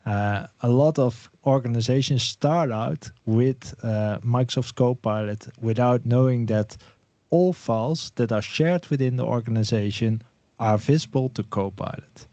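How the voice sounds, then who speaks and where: Dutch-born guest, man; mellow and relaxed, on dry, dull call audio. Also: mid-range voice, measured pace, no echo. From Netherlands. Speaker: Microsoft 365 specialist guest. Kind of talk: casual online panel talk.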